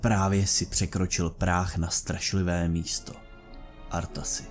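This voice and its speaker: A man's voice: low-pitched, level tone. A man playing a stern, loyal older paladin mentor in a fantasy audio drama, weighty and reproachful. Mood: grave reproach. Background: music.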